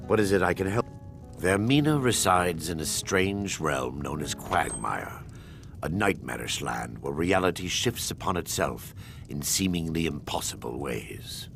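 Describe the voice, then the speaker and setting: An actor playing a grave, devout priest in a fantasy game, speaking in a measured, ominous, formal way. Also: male voice, rumbling low, expressive, creaky, a bit bright, faint music behind, heavily acted. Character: grave, devout priest guiding a younger man. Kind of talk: fantasy game character dialogue.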